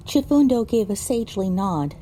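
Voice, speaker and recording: A woman playing a pony who narrates her own ordeal in a measured, dramatic audio drama style. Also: mid-range voice, big pitch swings, mellow, a little hiss or hum, close to an everyday voice. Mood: grave, reflective.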